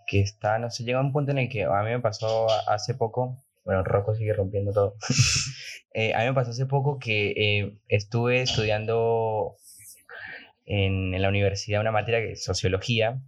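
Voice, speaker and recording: A man's voice: lowish voice, fairly even intonation, moderate pace, muffled recording. A man hosting a podcast in a casual, conversational way, thinking aloud in an informal, rambling manner. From outside Argentina, moved there. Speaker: podcast host.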